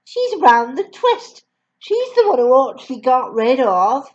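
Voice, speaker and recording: A woman doing a gentle timid schoolteacher. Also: high voice, very animated, measured pace. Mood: exasperated. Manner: soft, polite, quietly disapproving. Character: gentle young female schoolteacher, timid but principled. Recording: dull lo-fi recording.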